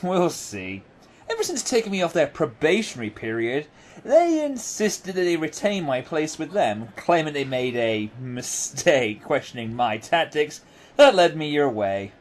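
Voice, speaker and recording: A self-assured, teasing agent played by a man in a fan audio drama. He is wry and wordy, with a highish, very lively voice. Faint noise sits behind. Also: a little slow.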